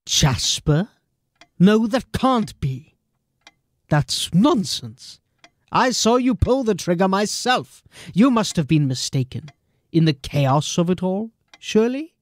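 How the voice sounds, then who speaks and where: Stern, slow-talking police captain. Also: male voice, sits high, big pitch swings, no echo, studio quality, full character voice. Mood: disbelieving. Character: stern, skeptical male police captain, guardedly loyal. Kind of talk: dramatic audio drama acting.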